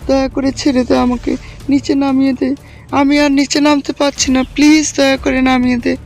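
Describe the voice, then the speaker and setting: A woman's voice: high-pitched, flat delivery, moderate pace, darkish tone, faint music behind. A woman playing a greedy, bullying village woman in a cartoon, with a demanding, insistent way of talking. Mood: panicked pleading. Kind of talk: cartoon voice acting.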